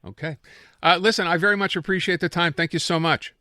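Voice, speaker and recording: A man's voice: sits high, steady tone, fast talker, sharp tone, no room sound, studio quality. A man hosting a radio interview, asking chatty, polite, loosely phrased questions in a conversational way.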